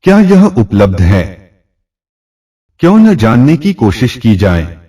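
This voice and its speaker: A man's voice: mid-range voice, huge pitch swings, slow, mellow, far from the mic. A man narrating a scripted short story as a storyteller, recorded a little away from the mic.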